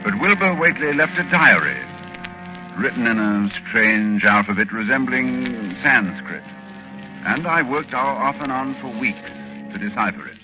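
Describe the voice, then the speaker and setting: An actor playing a grave, horror-struck scholarly doctor, with dramatic pauses and halting repetitions, in 1940s radio drama style. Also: male voice, mid-range voice, lively intonation, lightly acted. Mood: urgent, grave. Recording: muffled 1940s radio, loud music.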